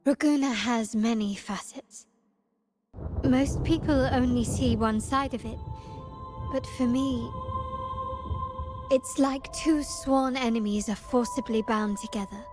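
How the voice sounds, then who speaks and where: An enigmatic young lady in a video game cutscene. Her voice is fairly high, with slow, deliberate, formal phrasing, composed and knowing, over clear background music. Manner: measured, formal, deliberate, cryptic.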